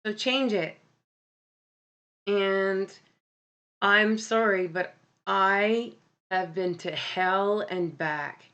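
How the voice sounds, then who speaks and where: A woman with a deep voice hosting a casual live stream, talking candidly and bluntly with many ums and self-corrections. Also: extremely slow, pauses often, a bit bright, dull audio. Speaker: livestream host. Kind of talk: casual live-stream talk about mental health.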